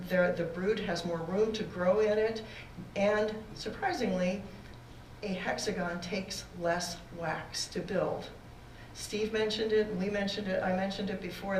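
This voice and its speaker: A woman presenting a beginner beekeeping class to a room, loud-voiced and talking in a halting way with fillers and restarts. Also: mid-range voice, slight background noise. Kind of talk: classroom lecture with slides. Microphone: far room recording, no mic for a while. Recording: echoey room from a distance.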